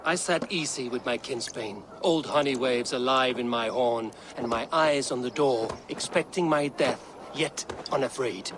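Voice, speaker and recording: A man playing a stoic, blunt Norse warrior in a game cutscene, terse and measured, with dry wit. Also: medium pitch, background noise, lightly acted. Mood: wry, unafraid. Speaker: player character. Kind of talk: dramatic game cutscene dialogue. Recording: music playing behind.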